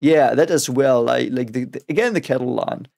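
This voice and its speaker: Raspy man musing over lyrics. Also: mid-range voice, a little mellow. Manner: musing, halting, repeats words often. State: voice raspy from a cold. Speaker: reaction video host. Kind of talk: casual reaction and lyric analysis.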